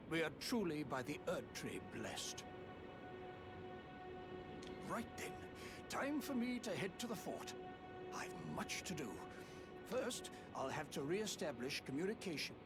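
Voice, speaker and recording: An actor playing a pompous, high-minded noble heir in a video game, speaking in flowery, old-fashioned courtly phrases. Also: male voice, big pitch swings, unhurried, pauses often. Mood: satisfied, grand. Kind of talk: theatrical video game dialogue. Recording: loud music behind the voice. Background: loud game music.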